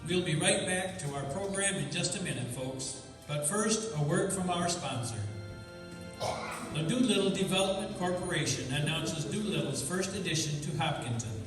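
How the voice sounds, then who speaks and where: A man's voice: high voice, normal speed. A man announcing and reading scripted copy in old-time radio style. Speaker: announcer and narrator. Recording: echoey hall, distant mic, music. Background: music.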